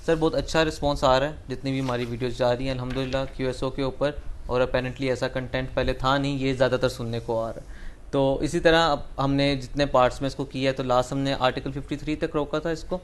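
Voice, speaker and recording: A man's voice: medium pitch, fairly even intonation, fast. A man with a Pakistani accent hosting a conversational interview, deferential and mixing English into his speech. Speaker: host and interviewer, a lawyer.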